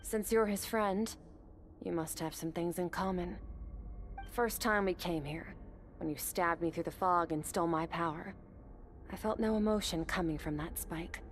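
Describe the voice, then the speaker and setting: An actress playing a composed, resolute swordswoman in a game cutscene, speaking calmly and firmly. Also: on the low side, faint music behind, lightly acted. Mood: thoughtful. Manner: calm, measured, firm.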